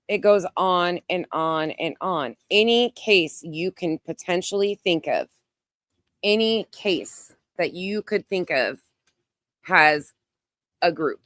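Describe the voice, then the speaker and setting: Woman, low pitch, dry sound, casual live commentary, emphatic repetition, online host. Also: slow pace, pauses often, a bit bright, no room sound. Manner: narrates own actions while browsing. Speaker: YouTube creator, host.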